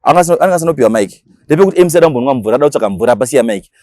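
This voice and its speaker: Very fast-talking Zimbabwean man, slangy and animated. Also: mid-range voice, no room sound, clean studio sound. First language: Shona. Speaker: interview guest, dancehall DJ. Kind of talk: casual interview talk mixing Shona and English.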